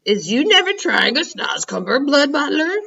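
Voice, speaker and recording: A woman doing a gentle kindly giant. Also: high voice, very animated, normal speed, vocal fry, far from the mic, dull audio, pitched above the performer's own voice, heavily acted. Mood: coaxing. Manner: earnest, coaxing, playful made-up words. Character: big kindly gentle male giant, odd grammar.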